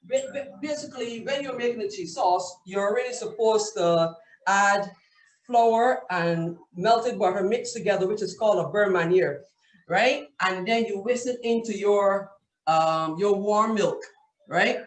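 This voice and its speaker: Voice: medium pitch, unhurried. Chef asking "right?" in an echoey kitchen. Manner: explains step by step with many "right?" checks. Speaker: chef cooking live. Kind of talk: cooking demonstration on a video call. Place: home kitchen. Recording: distant echoey room with faint noise.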